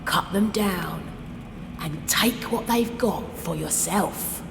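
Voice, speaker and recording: Hard female zealot, deep gravelly voice, slow fervent contempt, long reverb, noisy background, game. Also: music in the background. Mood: hard, recruiting. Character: hard, zealous woman devotee of a dark god. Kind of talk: dramatic video game acting.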